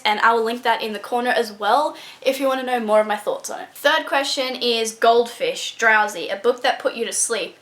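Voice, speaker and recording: A young woman talks casually to her camera about books, her voice sitting a little on the high side. She rambles in a friendly, chatty way, padding her sentences with ums and likes. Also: fairly bright tone. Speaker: book vlogger.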